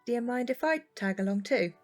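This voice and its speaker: An actress playing a frank, teasing, sociable neighbour in an audio drama, with a blunt and playful manner. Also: female voice, a little high, lively intonation, brisk, faint music behind, close to an everyday voice.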